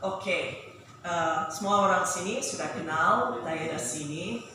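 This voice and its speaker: Slow foreign-accented woman in an echoey hall. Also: fairly low pitch, pushed and bright. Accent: foreign, non-native Indonesian. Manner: halting, careful, searching for words. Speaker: conservation organisation director, presenter. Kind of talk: formal presentation. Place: large echoey indoor meeting room. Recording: very echoey hall, distant mic, noisy.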